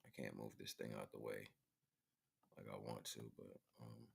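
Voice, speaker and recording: Very deep-voiced man, casual livestream talk. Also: flat delivery, moderate pace, gravelly, slightly rough. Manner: informal, rambling, repeatedly checking in with "right?" and "you know what I'm saying". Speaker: livestream host. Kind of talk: casual livestream commentary on pictures.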